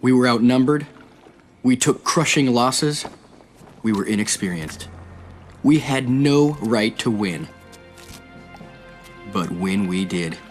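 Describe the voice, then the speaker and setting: An actor playing a battle-weary navy captain who narrates in a solemn, measured way in a video game. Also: male voice, medium pitch, expressive. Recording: voice over loud music score.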